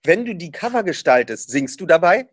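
A man's voice: fairly high pitch, very animated, fairly quick, no room sound. A man conducts a friendly interview in a slightly raised voice. He stops often to search for words. The recording is close and dry. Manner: hesitant, lots of fillers. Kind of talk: casual interview.

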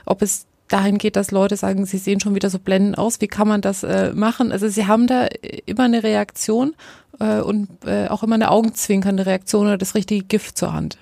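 A woman's voice: mid-range voice, little pitch movement, a little fast, vocal fry, dark tone, no echo, studio quality. A woman hosting an interview, asking friendly, polite questions with some fillers. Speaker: interviewer, podcast host.

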